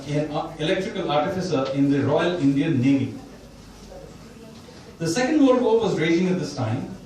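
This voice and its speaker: A man's voice: a little high, warm tone, far from the mic, a little hiss or hum. Man speaking in a very echoey room. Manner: thoughtful, with pauses and restarts. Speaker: author at a book launch. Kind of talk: talk and reading from his book. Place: bookstore event room, indoors. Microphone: distant mic or none.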